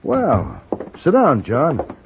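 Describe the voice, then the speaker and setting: A man playing a cool, shrewd gun-for-hire in an old-time western radio drama, measured and wry. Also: medium pitch, wildly swooping pitch, full character voice. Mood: genial. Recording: muffled, crackly old radio recording.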